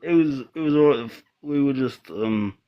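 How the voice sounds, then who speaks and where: A man's voice: medium pitch, moderate pace, dull audio. A man calls in to a football chat show and talks casually. He often hesitates, trails off mid-thought and starts over. His voice sits in a mid range. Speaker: caller.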